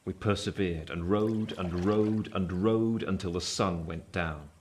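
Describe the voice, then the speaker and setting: An actor playing an earnest Victorian gentleman who narrates his own past in literary, measured storytelling for a radio play. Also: deep voice, slight background noise. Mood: measured, tense. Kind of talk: first-person dramatic narration, radio play. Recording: clear ambient sound effects behind voice.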